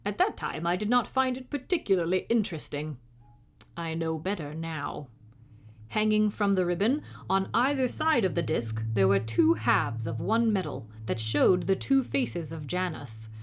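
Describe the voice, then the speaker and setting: A woman's voice: mid-range voice, expressive, normal speed, close to an everyday voice. Woman reading as a proud, formal little knight. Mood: grave storytelling. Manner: formal, old-fashioned, dignified speech. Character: proud, indignant, formal tiny knight, a grown man. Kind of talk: storybook character reading.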